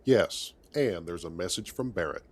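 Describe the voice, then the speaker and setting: A man playing a calm, capable starship captain in scripted game dialogue, measured and matter-of-fact with light wry touches. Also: low voice. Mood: upbeat. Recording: loud ship and machinery ambience.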